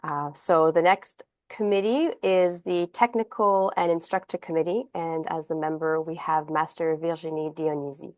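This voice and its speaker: Low, flat-voiced woman hosting a meeting. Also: normal speed, few pauses, dry recording. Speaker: meeting host.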